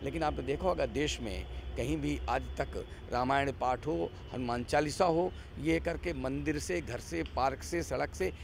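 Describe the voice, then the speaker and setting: A man's voice: medium pitch, a little slow, gravelly, few pauses, a little hiss or hum. A man giving political commentary as an interview guest, arguing emphatically with repeated phrases and rhetorical questions.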